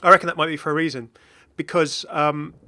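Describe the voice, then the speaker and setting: Bright-voiced man doing casual gaming commentary. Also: on the high side, steady tone, a little fast. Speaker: video host, game content creator.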